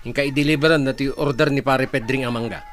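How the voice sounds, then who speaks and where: A man's voice: mid-range voice, steady tone, fast, noisy background, natural voice. A man playing a sly, agreeable old village husband with a Filipino accent in a comedy radio drama. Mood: bossy.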